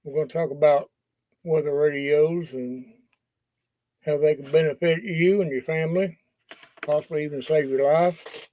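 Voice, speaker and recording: A man talking casually and haltingly as a livestream host, in a folksy, unscripted way. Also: a little high, steady tone, a little hiss or hum. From Kentucky, US. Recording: dull lo-fi sound, roomy echo.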